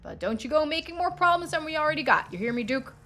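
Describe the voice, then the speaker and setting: A woman's voice: sits high, sing-song, fast talker, pushed and bright, a little hiss or hum, pitched above the performer's own voice, heavily acted. A woman voicing a weary male small-town sheriff in a game, placating yet authoritative. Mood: weary, firm.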